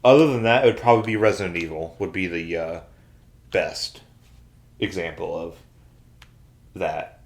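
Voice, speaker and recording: American guy podcast host, lowish voice, just chatting with the others. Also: moderate pace, pauses often. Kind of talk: casual panel chat.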